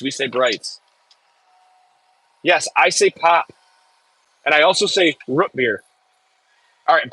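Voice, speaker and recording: A man with a Michigan accent chats loosely on his livestream, answering viewers' comments as they come in. His voice sits a little high for a man, and the sound is dry and close, with no room echo. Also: a bit bright. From Michigan, US Midwest. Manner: rambling, reads and answers chat aloud. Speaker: livestream host. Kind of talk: casual live-stream chat.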